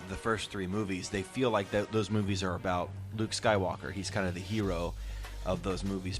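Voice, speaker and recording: Podcast host: man with a lowish voice, casual and explanatory, encouraging his co-hosts along.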